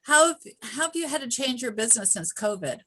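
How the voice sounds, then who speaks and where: A woman's voice: fairly high pitch, animated, a little fast, fairly bright tone, breathy edge. A woman hosting a podcast, asking questions enthusiastically with plenty of ums. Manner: enthusiastic, asks questions with fillers. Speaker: podcast host. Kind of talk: casual podcast interview.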